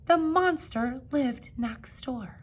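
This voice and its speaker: A woman teacher giving an expressive storybook read-aloud, with teaching asides and questions for young listeners. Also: high-pitched, slow pace, slightly rough.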